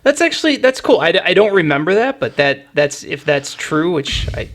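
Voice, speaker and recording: A man's voice: a little high, sing-song, moderate pace, fairly bright tone. A middle-aged man hosting a music podcast, talking in a conversational, enthusiastic and wordy storytelling way. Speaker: podcast host. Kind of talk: music podcast talk, retrospective commentary.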